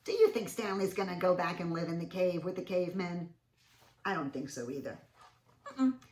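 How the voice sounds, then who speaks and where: A woman reading a picture book aloud in an animated, sing-song way, pausing to put questions to young listeners. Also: lowish voice, slight background noise. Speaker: children's read-aloud storyteller. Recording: roomy, echoing indoor sound.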